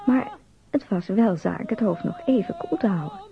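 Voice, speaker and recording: Lively, creaky storytelling narrator. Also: medium pitch, moderate pace, soft background music. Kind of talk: scripted storybook narration of a radio play. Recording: dull radio play recording.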